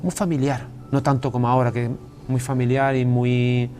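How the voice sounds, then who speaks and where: A man's voice: mid-range voice, level tone, normal speed, a little mellow, a little hiss or hum. An Andalusian man, a writer being interviewed, talking casually with frequent restarts and repeated words. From Andalusia, Spain. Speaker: guest writer. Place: television studio.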